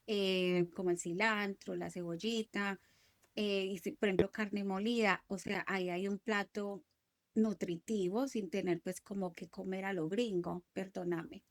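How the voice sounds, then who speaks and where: A woman's voice: medium pitch, dry recording. A woman with a Colombian paisa accent, co-hosting a podcast and explaining food habits in a chatty, easygoing way. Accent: Colombian paisa (Antioquia). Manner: chatty, explanatory, laughs easily. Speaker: co-host, nutrition specialist. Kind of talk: casual podcast conversation.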